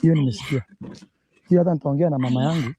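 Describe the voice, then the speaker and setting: A man's voice: fairly high pitch, racing, dark tone, breathy edge. A man in a casual recorded conversation, asking questions.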